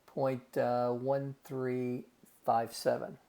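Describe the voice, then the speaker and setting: A man's voice: medium pitch, flat delivery, extremely slow, vocal fry, warm tone. A man teaching a recorded math lesson, working through calculations step by step in a folksy way and calling his students guys and gang.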